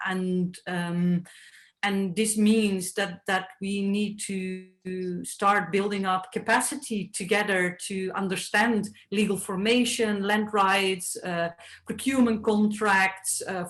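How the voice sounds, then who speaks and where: A woman's voice: medium pitch, fairly bright tone. Reflective woman in an echoey room. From Netherlands. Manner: thoughtful, many "like" fillers. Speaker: artist, panel speaker. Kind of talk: panel discussion.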